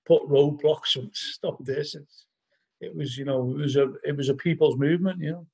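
Middle-aged scouse guy on a video call from his living room, husky voice, a bit higher than most men, rambles through stories w lots of "you know". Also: fairly even intonation, normal speed, mellow. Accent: Liverpool. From Liverpool, England. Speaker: podcast guest, band vocalist. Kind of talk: casual storytelling interview. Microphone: laptop webcam on a video call.

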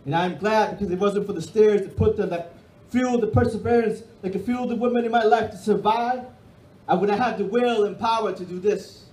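High-pitched guy from peru doing spoken word on a bar stage, punchy rhythm, echoey hall. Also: steady tone, brisk, a little mellow. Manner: rhythmic, emphatic delivery with sweeping hand gestures. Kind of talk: spoken-word poetry performance. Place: dark stage of a bar and music hall, indoors. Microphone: stand microphone on stage. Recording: roomy hall sound.

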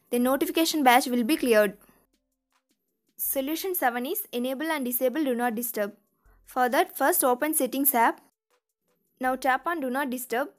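High voiced lady reading out step by step how-to instructions, scripted, music in the back. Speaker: tutorial narrator and channel host. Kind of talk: scripted step-by-step tutorial narration. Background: background music.

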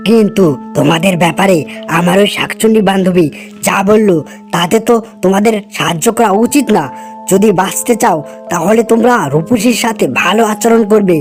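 A performer voicing a fair-minded, stern magical tigress in a cartoon, speaking in a measured, admonishing way. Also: mid-range voice, a little mellow, soft background music, lightly acted. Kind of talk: cartoon character acting.

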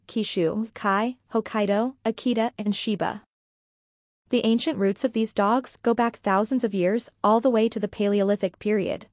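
Woman, fairly high voice, dry close sound, scripted educational narration, measured formal reading. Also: darkish tone, no room sound. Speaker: podcast narrator.

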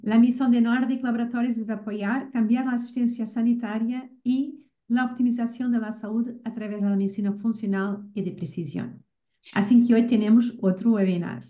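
A middle-aged woman hosting a webinar over a video call as a company representative, giving a measured, formal welcome. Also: a little high, steady tone, mellow.

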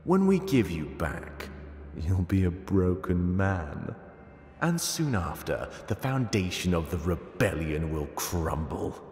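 A man voicing a cold, smirking beast interrogator in a video game, speaking in a deliberate, threatening way. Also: deep voice, very animated, darkish tone, heavily acted. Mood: menacing. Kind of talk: dramatic video game voice acting. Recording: echoey stone-chamber reverb over music.

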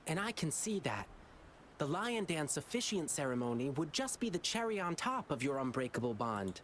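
A woman's voice: fairly low pitch, slight background noise. A woman playing an eager, earnest young lion dancer boy in a video game, cheerfully chanting blessings. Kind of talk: English video game voice acting.

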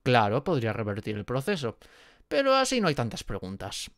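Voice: medium pitch, wildly swooping pitch, a little fast, no echo, clean studio sound. A performer with an accent from Spain voicing a nervous, apologetic, friendly gorgon in a roleplay audio drama, hesitant and rambling as if talking to one listener. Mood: sheepish, explaining. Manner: hesitant, rambling, muttering to self.

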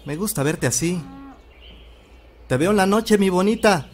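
A man's voice: high-pitched, lively intonation, measured pace. A young man with a Mexican accent playing a lovestruck rural suitor in an audio drama. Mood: tender, coaxing.

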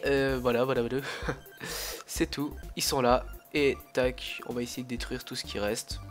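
A young man with a cold who coughs now and then, chatting casually and rambling through his commentary on a strategy game, full of fillers and restarts. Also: mid-range voice. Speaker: gaming YouTuber.

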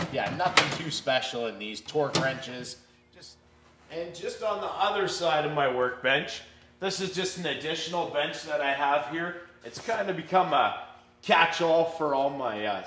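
Male hobby woodworker, thirties, informal vlog delivery; roomy workshop sound with some noise behind him. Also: fairly high pitch. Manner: informal, enthusiastic, slangy. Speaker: hobby woodworker giving a shop tour. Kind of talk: casual unscripted vlog chat. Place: garage-sized woodworking shop, indoors. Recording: echoey room with background noise and clicks.

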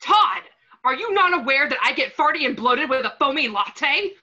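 A woman's voice: high-pitched, very animated, normal speed, bright, forceful tone, full character voice. A woman playing a pompous, fussy fashion mogul villain in an amateur comedy script read over video call. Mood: grandiose, fussy. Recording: slightly dull video-call audio.

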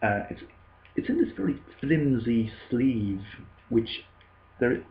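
A man in his thirties with a Cork Irish accent, showing records to a webcam at home, halting with many ums and restarts. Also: mid-range voice, big pitch swings, slight background noise. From Ireland. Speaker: vinyl collector vlogger. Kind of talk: casual show-and-tell. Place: small indoor room at home. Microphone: webcam at desk. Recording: dull lo-fi webcam audio.

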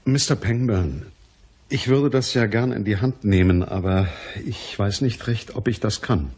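A low, husky, sceptical private detective. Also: male voice, darkish tone, no echo. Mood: matter-of-fact. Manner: dry, measured, matter-of-fact. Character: sceptical, matter-of-fact male private detective. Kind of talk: radio play narration and dialogue.

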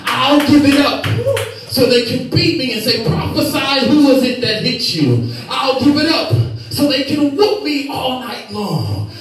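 A man's voice: very high voice, big pitch swings, a little slow, fairly bright tone, faint music behind. Impassioned man preaching in an echoey church. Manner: impassioned preaching, builds in repeated refrains; moves about the stage, bending and gesturing. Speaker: pastor. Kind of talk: sermon. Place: church stage with lit screens, indoors. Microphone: handheld mic. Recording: echoey room from a distant camera.

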